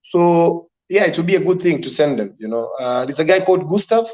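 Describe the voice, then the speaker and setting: A man on a committee call, talking in a relaxed way with many "you know" fillers. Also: a little high, a little fast. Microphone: online call. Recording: dull lo-fi call audio.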